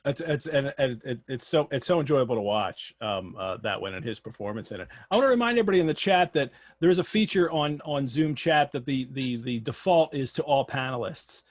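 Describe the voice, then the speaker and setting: A man's voice: mid-range voice, lively intonation, brisk, hardly any pauses. A man hosting an online question and answer session in a casual, informal way, restarting his phrases. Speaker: host of an online Q&A. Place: remote video call.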